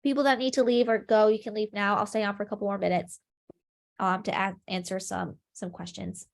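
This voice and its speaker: A young woman runs an online chemistry review over a video call. She sounds sniffly and congested, and she explains steps in a friendly, rambling way full of ums, at a middling pitch for a woman. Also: steady tone, a little fast, vocal fry. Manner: friendly, filler-heavy explaining with frequent ums. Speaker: chemistry review session leader.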